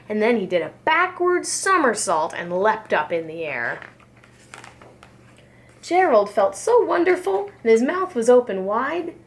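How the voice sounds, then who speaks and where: Slow, animated woman reading a storybook. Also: fairly high pitch. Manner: expressive, dramatized read-aloud. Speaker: preschool teacher reading aloud. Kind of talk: children's storybook narration.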